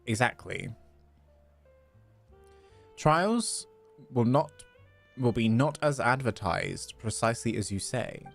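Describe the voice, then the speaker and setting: A man voicing a florid, theatrical shopkeeper in a fantasy video game, grandly courteous and teasing, with ornate, drawn-out phrasing. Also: mid-range voice, sing-song, vocal fry, faint music behind, full character voice. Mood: haughty, teasing.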